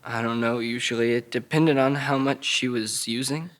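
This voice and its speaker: A troubled young man, voiced by a male actor at a drama table read, speaks with a childlike plainness. He stammers and halts as he recounts painful memories, at a middling male pitch. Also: fairly even intonation, moderate pace, close to an everyday voice. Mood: haltingly confessional.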